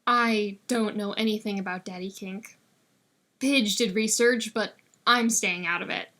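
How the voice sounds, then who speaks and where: Woman voicing a laid-back, bright-voiced young college guy. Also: medium pitch, a little slow. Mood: kind, solemn. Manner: casual, blunt, slangy. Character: laid-back, kind young male college student cook.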